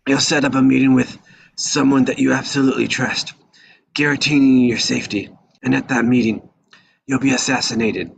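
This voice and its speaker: Aged mob patriarch, performed by a man: weary, forgetful, husky, creaky voice, rambling delivery. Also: mid-range voice, steady tone, poor audio. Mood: reflective. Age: older. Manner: rambling, halting. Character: weary, forgetful old mafia patriarch, male. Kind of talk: live table read of a crime film script.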